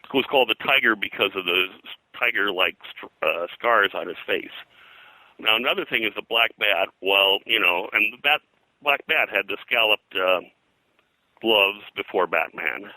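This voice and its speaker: An older man, an interview guest, recounting history in a halting, discursive, scholarly way. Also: on the low side, bright, forceful tone, no echo, poor audio. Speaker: guest, comics and pulp fiction historian.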